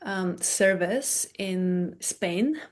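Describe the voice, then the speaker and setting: A woman in her thirties from Bulgaria, a podcast guest telling her story in a relaxed, soft-spoken way that fades quietly at the ends of phrases. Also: medium pitch, very slow, slight background noise. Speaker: podcast guest, meditation teacher. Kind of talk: casual conversation, storytelling.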